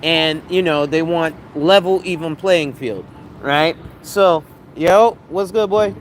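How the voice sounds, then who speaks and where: A man's voice: on the high side, slow pace. A man, streaming live on a city street and talking in a blunt, slangy, profane, rambling way. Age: 40s. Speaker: financial advisor, live streamer. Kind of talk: casual live-stream talk. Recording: street noise around.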